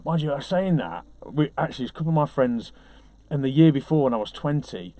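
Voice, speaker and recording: A man's voice: medium pitch, expressive, fast, dark tone. A man being interviewed as a DJ, telling casual anecdotes in a chatty, self-deprecating way.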